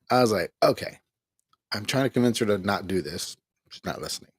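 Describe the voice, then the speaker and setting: Husky-voiced man telling a rambling story. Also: lowish voice, expressive, fairly quick, no echo. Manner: candid, rambling, laughs between complaints. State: tired. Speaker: online ministry host. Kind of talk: casual personal testimony. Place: home.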